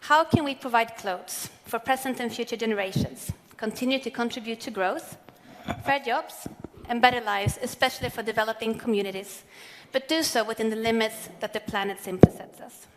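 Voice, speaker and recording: Woman, thirties, measured earnest presenter, headset mic, long hall reverb. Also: a little high, a little hiss or hum. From Sweden. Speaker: corporate sustainability speaker. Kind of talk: prepared keynote speech read from notes. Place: large event hall on stage.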